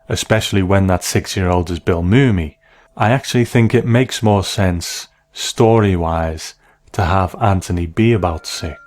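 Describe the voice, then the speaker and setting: A man hosting a show gives measured, thoughtful commentary in a conversational, analytical way. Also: low voice, darkish tone. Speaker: podcast host reviewing a TV episode.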